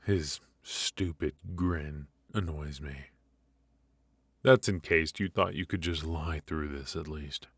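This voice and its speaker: Man acting a very deep, slow-talking mafia boss. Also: slightly rough, dry recording, heavily acted. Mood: coolly threatening. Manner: slow, deliberate, mockingly polite with drawn-out pauses. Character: menacing, teasing male mafia boss interrogating a captive. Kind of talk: intimate ASMR roleplay acting.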